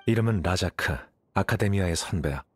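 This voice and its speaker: A man's voice: low-pitched, expressive, a little fast, breathy edge. A man voicing a cool-headed, analytical young scholar in a Korean game dub, composed and matter-of-fact as he explains. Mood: calm, reasoning. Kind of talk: Korean-dubbed anime game dialogue.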